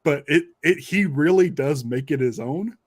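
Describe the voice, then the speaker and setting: A man co-hosting a podcast, chatting casually in a halting, rambling way. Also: a little high, slightly rough, no echo, clean studio sound. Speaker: podcast host. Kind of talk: casual chat.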